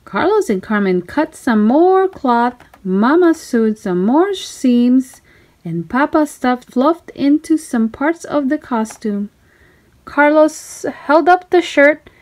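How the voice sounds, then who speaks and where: A woman narrating a children's storybook aloud in an expressive, deliberate way. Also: high voice.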